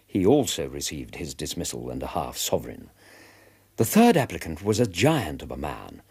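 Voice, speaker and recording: An actor playing a gentlemanly British army veteran who narrates detective cases in a measured storytelling manner. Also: male voice, deep voice, husky, exaggerated voice. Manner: measured storyteller, vividly descriptive. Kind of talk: scripted narration in radio drama.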